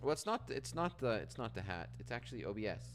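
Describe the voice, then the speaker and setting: A man from Canada talks through a live trading stream at home, answering viewers' comments in a loose, conversational way. His voice sits at an ordinary middle pitch. A faint hum runs underneath. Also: sing-song, fast talker. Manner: reads chat aloud and answers, with frequent restarts. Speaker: livestream trading host.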